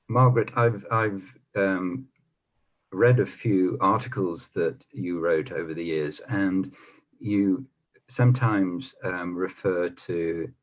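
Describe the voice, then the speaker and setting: A man who stammers, chairing a panel discussion over a video call and asking questions with hesitations and fillers. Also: low-pitched. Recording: dull lo-fi video call audio.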